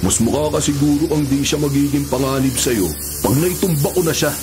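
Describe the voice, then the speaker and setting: A man's voice: a little high, brisk, close to an everyday voice. An actor playing a loyal, scheming male convict who talks in an insistent, conspiratorial way in a radio drama. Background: music.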